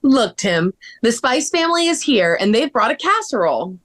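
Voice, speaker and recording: Eager young female sleuth played by a woman, high and swooping, expressive, for a children's mystery read. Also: moderate pace. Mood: eager, friendly. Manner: expressive, exclamatory.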